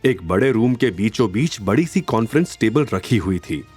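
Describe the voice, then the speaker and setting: A man's voice: fairly low pitch, lively intonation, normal speed, darkish tone, slightly rough, faint music behind. A man narrating a scripted audio drama in a dramatic storytelling style.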